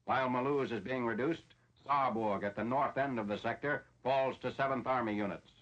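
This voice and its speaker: A dull, lo-fi 1940s soundtrack carries an American man narrating a military newsreel. His voice sits at a middling pitch, and he reads the script formally, as plain matter-of-fact reporting. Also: a little fast, a bit bright.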